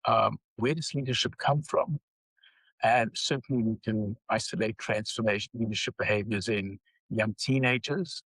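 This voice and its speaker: An older man with a South African accent, speaking as an interview guest in a measured way with frequent pauses. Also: fairly low pitch, a bit bright, slightly rough, no echo, rough recording. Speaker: guest psychology researcher. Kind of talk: interview conversation.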